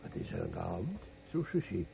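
A man's voice: on the low side, big pitch swings, normal speed, vocal fry, full character voice. An actor playing a poor, humble fisherman in a radio play, earnest and fearful in a dramatic manner. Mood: awed, uneasy. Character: poor humble fisherman, awed, fearful, cautioning his mates. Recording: phone-like, noisy old radio recording.

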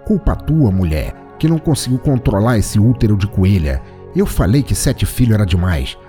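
A man's voice: fairly low pitch, very animated, normal speed, mellow, soft background music. A man voicing a bitter, poor farmer father in an audio short story, blunt and complaining, with speech. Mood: scornful, bitter. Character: bitter, harsh poor farmer father, scornful of his family.

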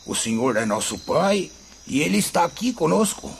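An actor playing a humble, devout country labourer and father in a radio drama, with a rustic Brazilian accent and warm folksy manner. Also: a little high, big pitch swings, normal speed, vocal fry. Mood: kindly, devout. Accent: rural Brazilian. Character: humble, devout rural labourer, kindly father spirit visitor. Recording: faint noise with crickets behind.

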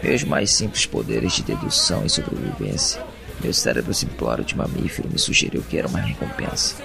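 A man with a Brazilian accent playing an introspective, uneasy captive who narrates an audio drama in a brooding, deliberate way. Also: rumbling low, very animated, vocal fry, music in the background, heavily acted. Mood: resigned reasoning. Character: captive man, introspective, uneasy, reasoning through his confinement.

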